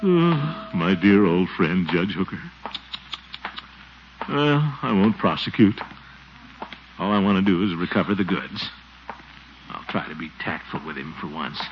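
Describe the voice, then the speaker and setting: An actor playing a pompous, excitable middle-aged small-town commissioner in 1940s radio comedy style, given to blustering and muttered asides. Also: male voice, deep voice, measured pace, long pauses. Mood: musing, sorrowful. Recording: dull, noisy 1940s radio recording.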